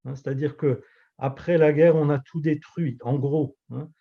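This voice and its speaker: A man in his fifties from Alsace whose first language is Alsatian, a pastor and author answering interview questions in a measured, reflective way with frequent hesitations. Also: fairly high pitch, steady tone, creaky, narrow, tinny audio. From Alsace, France. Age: 50s.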